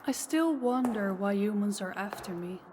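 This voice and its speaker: A woman playing an ancient, powerful vampire in an audio roleplay, slow, deliberate and condescending, with calm menace toward the listener. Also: medium pitch, natural voice. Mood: weary disdain. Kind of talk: intimate scripted audio roleplay addressed to listener. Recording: long hall reverb, faint background noise.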